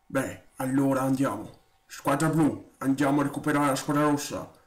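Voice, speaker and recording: A man voicing a calm, commanding non-human Jedi general in an amateur stop-motion fan dub. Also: medium pitch, normal speed, natural voice. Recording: roomy, echoey home recording.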